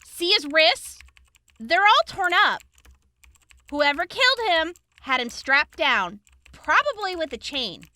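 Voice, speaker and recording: A woman acting a brisk, clinical young examiner in a post-apocalyptic audio drama, explaining gory details frankly. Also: extremely high pitch, very animated, sharp tone, dry recording, heavily acted. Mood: clinical, focused.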